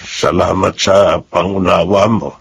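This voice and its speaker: A gentle older father in a radio drama, speaking slowly in a fairly low voice. He sounds apologetic, with a Filipino accent. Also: steady tone, creaky, slight background noise. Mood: apologetic, concerned.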